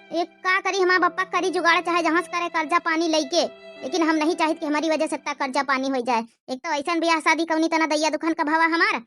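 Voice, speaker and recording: Casting: woman as worried young cartoon wife, Awadhi accent, very high bright voice, plaintive delivery. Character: anxious young wife, devoted to her parents. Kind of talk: cartoon comedy dialogue.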